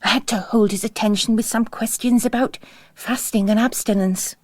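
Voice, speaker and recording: A woman's voice: a little high, steady tone, normal speed, no echo, lightly acted. A woman voicing an impetuous, earnest young nun with an urgent, outspoken manner in a story reading. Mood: chatty, indignant.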